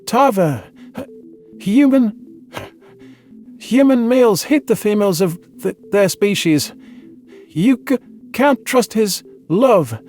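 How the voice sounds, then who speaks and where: A timid, panicky alien creature for a science fiction audio drama. The voice is extremely high and stutters fearfully, dragging haltingly through its words over faint music. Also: male voice, expressive, a little mellow. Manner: stammering, frightened, halting. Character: timid, terrified, rugged male alien exterminator with fur. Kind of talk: sci-fi audio drama dialogue.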